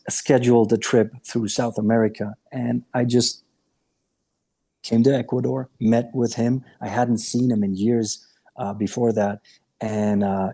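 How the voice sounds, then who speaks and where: A man's voice: mid-range voice, mellow. A man in his late thirties, from Romania and raised in Austria, telling his story as a podcast guest in a candid, earnest way. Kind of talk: casual interview chat.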